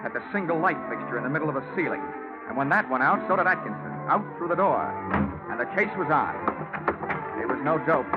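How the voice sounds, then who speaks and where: An actor playing a wisecracking, hard-boiled American private investigator in vintage radio drama, with a wry, punchy, slangy delivery. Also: male voice, fairly high pitch, lively intonation, fast, lightly acted. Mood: tense, dramatic. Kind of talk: hard-boiled 1940s-50s radio narration and acting. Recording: dull vintage radio recording with music.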